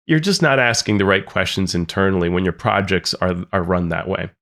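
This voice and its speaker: A man's voice: low voice, fairly quick, a bit bright. A man co-hosting a podcast, talking earnestly and critically with frequent restarts. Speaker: podcast co-host. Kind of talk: casual discussion.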